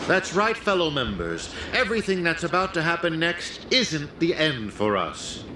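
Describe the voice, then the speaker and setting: A man's voice: a little high, sing-song, normal speed. An actor playing a composed male cult leader who addresses his followers in a solemn, sermon-like way, in video game dialogue. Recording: echoey, with noisy background.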